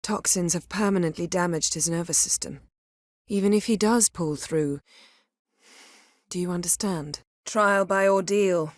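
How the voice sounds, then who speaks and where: An actress playing a proud, sharp-tongued, guarded sorceress in a video game, speaking in a cool, clipped, dryly sardonic way. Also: female voice, fairly low pitch, unhurried, no echo, clean studio sound, natural voice. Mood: cool, composed. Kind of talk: scripted game dialogue acting.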